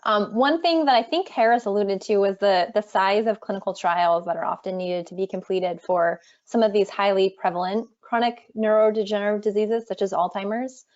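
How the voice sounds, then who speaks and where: A woman's voice: mid-range voice, fairly quick, hardly any pauses, darkish tone. A woman moderating a virtual panel, asking questions with fillers between phrases. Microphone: video call. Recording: muffled video call audio.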